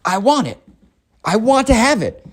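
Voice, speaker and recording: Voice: mid-range voice, very animated, moderate pace, pitched above the performer's own voice. A storyteller voicing a whiny, entitled, lovesick spoiled teenage boy with melodramatic, insistent pleading in a comic story. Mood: pleading, demanding. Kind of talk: acted dialogue in a comic story.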